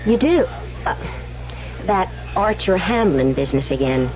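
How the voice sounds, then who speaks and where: A woman's voice: fairly low pitch, expressive, measured pace, crowd noise. An actress playing a naive, easily rattled young woman in an old-time radio drama, girlish and hesitant. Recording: dull old radio recording.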